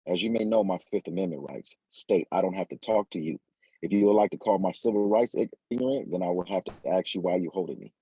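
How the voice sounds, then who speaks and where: An actor playing a cocky, smooth-talking street hustler in an audio drama, husky and gravelly, with slangy, wry delivery. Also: male voice, low-pitched, fast talker, dark tone, no echo, poor audio. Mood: indignant.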